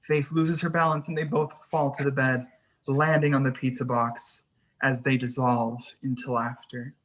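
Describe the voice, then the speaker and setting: A narrator at a screenplay table read, with a voice of medium pitch. The delivery is scripted narration of scene headings and action. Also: little pitch movement, normal speed, a bit bright. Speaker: narrator reading stage directions.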